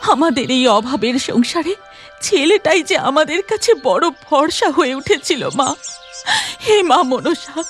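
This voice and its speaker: A woman's voice: high voice, big pitch swings, slow, faint music behind, exaggerated voice. A woman playing a devout village mother in a cartoon moral story, speaking in an emotional, imploring way. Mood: anguished pleading. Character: devout, pleading village mother praying to her goddess.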